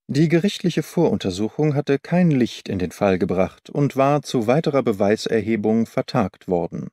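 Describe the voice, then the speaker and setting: A man's voice: medium pitch, mellow, no room sound. Male audiobook narrator, dry close sound. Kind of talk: scripted literary narration. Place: dry studio.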